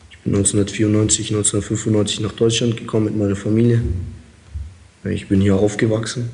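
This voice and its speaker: A man's voice: lowish voice, little pitch movement, fast, warm tone. A young man from Kazakhstan answering interview questions as an inmate, hesitant with many fillers. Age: young adult.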